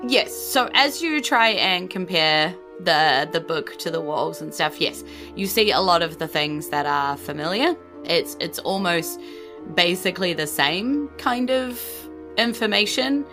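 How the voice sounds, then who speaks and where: Low-voiced New Zealand woman game master. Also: expressive, measured pace, music in the background. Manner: conversational, descriptive, frequent "you know" asides. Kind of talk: improvised narration of a tabletop game.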